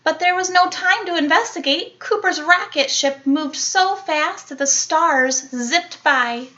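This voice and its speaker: A woman with a bright, fairly high voice reads a picture book to young children at an unhurried, slow pace. She is animated and leans into dramatic words and sound effects. The recording is a little dull and lo-fi. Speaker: elementary school teacher reading aloud. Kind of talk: expressive children's picture book read-aloud.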